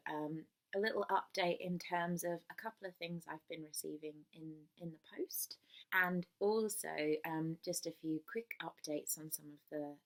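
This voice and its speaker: A woman's voice: fairly low pitch, fairly bright tone. A young woman chatting to camera about her knitting, enthusiastic and full of ums, recovering from a cold. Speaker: knitting vlogger. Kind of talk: casual chat to camera. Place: small indoor room.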